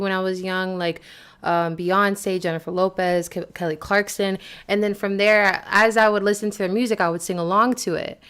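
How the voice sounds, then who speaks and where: A woman's voice: medium pitch, clear, resonant tone. A young woman from Boston, a singer being interviewed, talking in a chatty, rambling way with lots of "like". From Boston, USA. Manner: chatty and rambling, often saying "like" and laughing. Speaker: guest singer. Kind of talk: casual interview.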